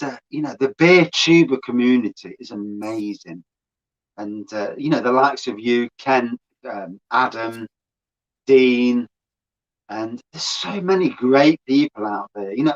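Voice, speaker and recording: A man's voice: mid-range voice, measured pace, muffled recording. A man, a beer reviewer, chatting casually as a guest on a stream, swearing freely and laughing as he tells stories.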